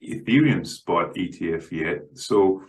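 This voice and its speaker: A man speaking as a guest analyst in an unscripted interview, halting and often repeating the starts of words. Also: sits low, lively intonation. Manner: halting, stammers on word starts.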